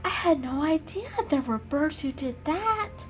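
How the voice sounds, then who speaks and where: A woman's voice: high voice, expressive, unhurried, faint music behind, pitched above the performer's own voice. A woman voicing a curious, affectionate female pet cat in a storybook, eager and full of wonder. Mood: amazed, curious. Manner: wondering, eager questions and soft musing.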